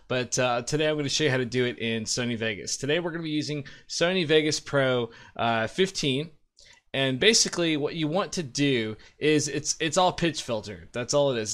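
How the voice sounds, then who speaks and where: A man in his thirties giving an informal, upbeat screen-recorded software tutorial as host. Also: medium pitch. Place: indoor room at a desk.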